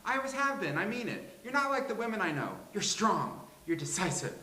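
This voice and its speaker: A performer playing a blunt, flattering society wife in a live sitcom table read, with a theatrical drawl. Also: fairly quick. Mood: gushing, flattering. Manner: theatrical, drawling, high-pitched swooping delivery. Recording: roomy live venue.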